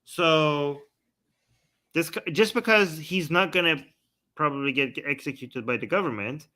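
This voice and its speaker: Conversational man with a bright voice. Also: fairly high pitch. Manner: conversational, halting restarts. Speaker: show host. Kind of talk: casual news discussion.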